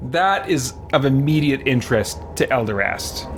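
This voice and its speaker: A man giving casual live-stream game commentary, thinking out loud and reading chat messages. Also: mid-range voice, lively intonation, normal speed. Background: game music.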